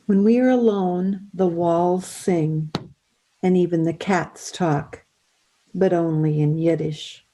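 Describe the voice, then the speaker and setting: A woman recites a poem in a measured way. Her voice is slightly low and mellow, and she takes her time over the words. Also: a little hiss or hum, rough recording. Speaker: reader. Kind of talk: measured poetry reading.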